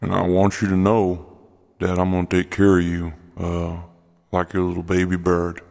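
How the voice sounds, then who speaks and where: A man playing a surly, rambling male road comic who recites absurd poetry in a deadpan drawl, for a comedic radio play. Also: low voice, moderate pace, creaky, pitched below the performer's own voice. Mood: earnest. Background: loud music.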